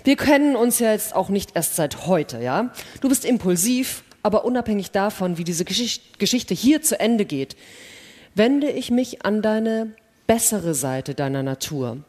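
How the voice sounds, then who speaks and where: A woman's voice: mid-range voice, lively intonation, measured pace, a little mellow. A woman acting a suspicious, accusing, proud female space scientist in a dramatic radio play, speaking with emphatic insistence. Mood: earnest, guarded. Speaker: actor in a radio play reading. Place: large hall, live staged reading. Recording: reverberant stage hall sound.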